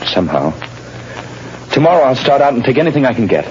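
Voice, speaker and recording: A man's voice: medium pitch, very animated, fast, close to an everyday voice. An actor in an old radio drama playing an earnest, desperate unemployed family man, pleading his case. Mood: downcast, resolute. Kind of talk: 1930s radio drama acting. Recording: noisy, muffled old radio broadcast recording.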